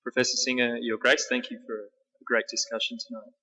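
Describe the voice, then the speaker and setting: A man asking a formal question from the floor of a public debate, halting and repeating words. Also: medium pitch, level tone, bright, forceful tone. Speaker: audience questioner. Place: large town hall. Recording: echoey large hall.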